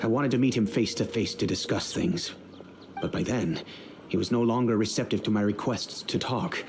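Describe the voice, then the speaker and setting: An actor playing a middle-aged, remorseful wealthy merchant and father in a video game, speaking in a measured, earnest, formal way. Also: on the low side, a little mellow, a little hiss or hum, close to an everyday voice. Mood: regretful, troubled. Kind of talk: dramatic video game dialogue.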